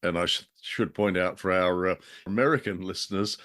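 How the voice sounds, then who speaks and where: A man's voice: deep voice, brisk, fairly bright tone. A man giving chatty review commentary on a show, in a wry, bookish way.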